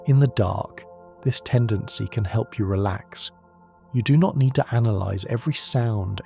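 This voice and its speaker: A man giving calm, slow, soft-spoken bedtime narration. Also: medium pitch, vocal fry. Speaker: sleep narrator.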